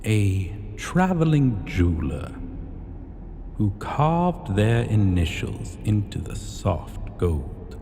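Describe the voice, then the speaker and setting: A menacing dragon king, played by a male actor, speaks in a low, dark voice with very slow, weighty delivery. He is haughty and possessive, commanding like a ruler in a fantasy audio roleplay, in a long cavernous reverb. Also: very animated, exaggerated voice. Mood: haughty, considering. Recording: long hall reverb, faint background noise.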